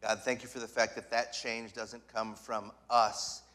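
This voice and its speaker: A man preaching a sermon in short phrases, pausing for an interpreter. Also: lowish voice, flat delivery, bright, forceful tone. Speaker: guest preacher. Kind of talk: sermon in English with live interpretation. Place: church sanctuary.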